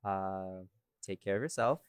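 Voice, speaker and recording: Low-pitched guy podcast host, rambly and informal, dry sound with no room echo. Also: measured pace, smooth. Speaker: podcast host and audio creator. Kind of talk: casual behind-the-scenes podcast chat.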